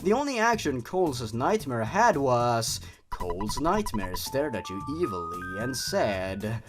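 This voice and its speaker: Guy narrating a creepy story, super animated up and down, sarcastic exasperated host type, bit of music behind. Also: medium pitch, a little slow. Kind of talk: dramatic reading of a horror story with mocking asides.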